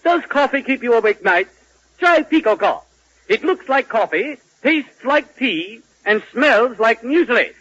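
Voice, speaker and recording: Casting: gushing 1940s radio announcer, very high and bright, lively sales patter, old noisy recording. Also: male voice, unhurried, heavily acted. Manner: gushing mock sales pitch, repeats slogans. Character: excitable radio announcer hawking a miracle drink. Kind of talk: 1940s radio comedy acting. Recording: noisy, dull vintage 1940s radio broadcast.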